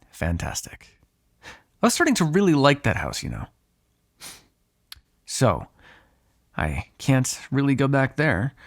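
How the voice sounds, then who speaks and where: A man's voice: mid-range voice, huge pitch swings, unhurried, long pauses. A man playing a sardonic, foul-mouthed vampire on the run in an ASMR roleplay, talking in a conversational, exasperated way. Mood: wry, resigned.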